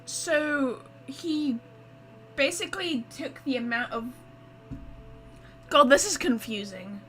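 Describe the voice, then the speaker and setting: A woman's voice: sits high, slow, a bit bright, soft background music, low-quality recording. A woman hosting a casual let's-play, informal and reactive, thinking aloud as she goes. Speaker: gaming video host.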